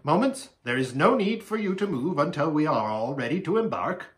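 Man as a male faun, anxious, eager, breathless, high-pitched, swooping intonation, storybook. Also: moderate pace. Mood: kindly attentive. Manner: breathless, repetitive, excitable.

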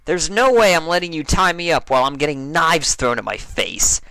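A man's voice: on the high side, moderate pace, gravelly, sharp tone, smooth, dry recording, voice pushed up, poor audio. A man voicing a brash, hot-tempered young female pegasus pony in a story reading, defensive and boastful. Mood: alarmed, indignant. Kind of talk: animated audiobook character voice.

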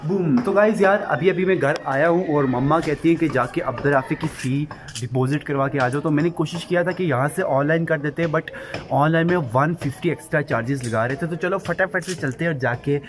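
A man's voice: fairly high pitch, a little fast. A young man from Pakistan, chatting casually to viewers as he rides, recorded on a bike-mounted action camera outdoors. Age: young adult. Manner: chatty, talks to viewers while riding. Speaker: vlogger. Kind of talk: casual motovlog chat. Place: outdoors on city streets. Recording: street and traffic noise behind. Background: traffic noise.

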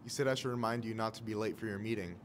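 An actor playing an easygoing young shop worker in a video game, with casual delivery. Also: male voice, lowish voice, rapid-fire, a little hiss or hum, lightly acted.

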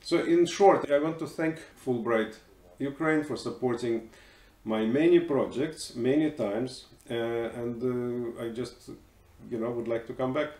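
A man in his fifties from Moscow with a Russian accent, giving a thoughtful, halting personal testimonial. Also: mid-range voice, a little mellow, echoey room. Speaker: musician and teacher. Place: living room, indoors.

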